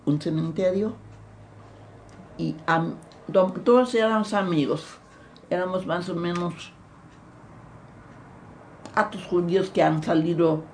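Slow, halting elderly woman with German accent. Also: fairly low pitch, slight background noise. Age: older. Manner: halting, repeats words, restarts often. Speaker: interviewee. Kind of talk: recounting memories in an interview.

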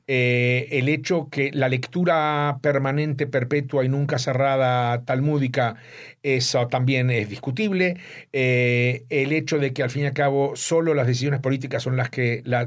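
An older man from Uruguay, an author speaking thoughtfully in an interview about his book and often pausing to search for words. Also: medium pitch. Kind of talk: reflective interview talk.

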